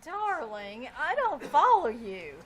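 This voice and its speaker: A woman's voice: sits high, big pitch swings, takes it slowly, a bit bright, full character voice. A woman playing a patient, genteel, placating society wife in a 1940s-style radio comedy. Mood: explaining calmly. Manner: polite, placating. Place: live small theater stage.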